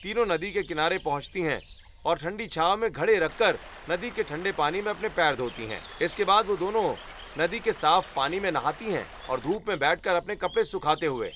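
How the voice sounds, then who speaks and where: Male narrator, slightly high voice, scripted storytelling, light background noise. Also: expressive, normal speed, fairly bright tone.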